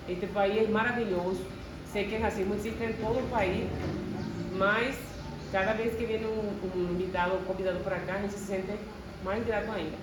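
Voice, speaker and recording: A woman from Venezuela, a native Spanish speaker and adult learner, talking earnestly in a group conversation with a Venezuelan Spanish accent and Spanish words slipping in. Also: medium pitch. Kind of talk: casual group talk. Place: school learning space. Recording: roomy and distant with background noise.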